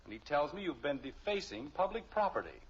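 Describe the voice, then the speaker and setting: A man's voice: fairly high pitch, very animated, brisk. A man playing an exasperated, easily flustered middle-aged suburban father in 1950s radio comedy style, sputtering and sarcastic. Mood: exasperated disbelief. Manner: sputtering, sarcastic, long-suffering. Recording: muffled old radio recording, faint hiss.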